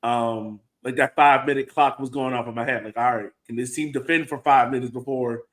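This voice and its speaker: A man's voice: mid-range voice, fairly even intonation, fairly quick. An American man co-hosting a soccer podcast, thinking aloud in an informal, conversational way with plenty of "like". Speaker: podcast co-host and soccer supporter. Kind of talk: casual sports chat.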